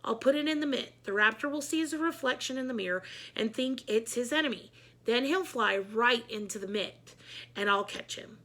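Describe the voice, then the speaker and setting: A woman reading a storybook, playing a confident, commanding, excitable male school principal. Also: a little high, moderate pace, lightly acted. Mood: scheming.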